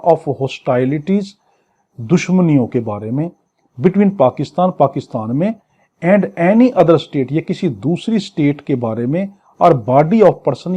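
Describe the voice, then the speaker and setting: Pakistani guy teaching a law class, soft mellow tone, slightly high voice, reads a clause then explains it, asks and answers himself. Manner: didactic, asks and answers own questions. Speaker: law lecturer. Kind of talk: exam lecture, reading clauses aloud then explaining them.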